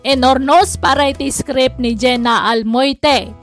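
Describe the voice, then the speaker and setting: A woman with an Ilocano accent speaks as the host of a drama program. Her voice is high, and faint music plays behind her as she addresses a letter writer. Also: fairly even intonation, unhurried. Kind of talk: reading and commenting on listener letters.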